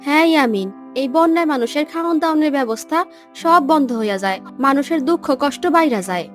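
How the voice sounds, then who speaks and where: A woman's voice: sits high, expressive, fast, soft background music. A woman voicing a kindly, pious young aunt in a family cartoon, with a colloquial Bangladeshi accent. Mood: sympathetic. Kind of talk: Bengali cartoon dubbing.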